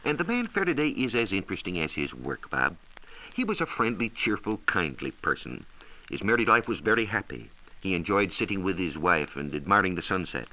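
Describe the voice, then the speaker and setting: Low-voiced, animated American 1940s radio man. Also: fairly quick, low-quality recording. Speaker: science reporter and radio presenter. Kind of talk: 1940s scripted educational radio talk. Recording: hissy 1940s radio transcription recording.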